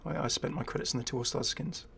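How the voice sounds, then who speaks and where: A man's voice: medium pitch, fast talker, gravelly, bright, forceful tone, slight background noise. A man hosting a gaming video with casual, unscripted commentary. He is chatty and hesitant, thinking aloud and dropping wry asides.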